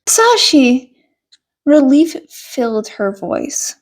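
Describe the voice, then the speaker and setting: A woman's voice: on the high side, slow pace, a little mellow, husky. A woman reading a scripted story aloud as an amateur audiobook narrator.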